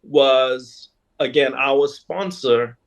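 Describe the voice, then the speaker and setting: A Bahamian man answering conversationally as an interview guest, in a halting, hesitant way. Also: medium pitch. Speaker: guest, leader of a Junkanoo group.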